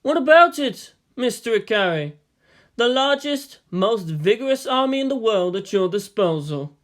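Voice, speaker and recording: Man playing high-pitched, amused older strategist. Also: very animated, normal speed, fairly bright tone. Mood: cheerful, amused. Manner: grinning, philosophical, teasing. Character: cheerful, amused older Chinese male military strategist. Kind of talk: dramatized audiobook dialogue.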